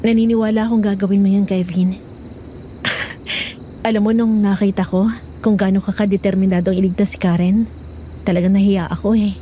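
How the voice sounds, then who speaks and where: A woman playing a gentle, guilt-ridden pregnant young mother in a horror audio drama, speaking in a halting, apologetic way. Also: mid-range voice, level tone, a little hiss or hum, lightly acted. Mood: soft, teasing.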